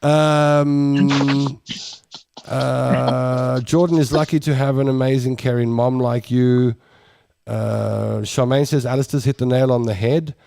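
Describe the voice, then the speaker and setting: A middle-aged South African man hosting a talk show, reading out viewer comments in a warm, rambling way with lots of restarts. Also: mid-range voice, fairly even intonation, slow, creaky.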